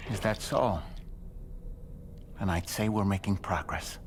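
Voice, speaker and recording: An actor playing a calm, gentle male companion and therapist in a dramatic game cutscene, speaking softly and deliberately. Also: on the low side, a little hiss or hum, close to an everyday voice. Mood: gently probing.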